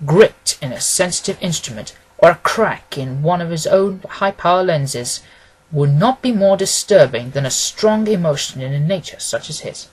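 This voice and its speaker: A man narrating a classic detective story as an audiobook, reading in a measured, formal, expressive way. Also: a little high, breathy edge, low-quality recording.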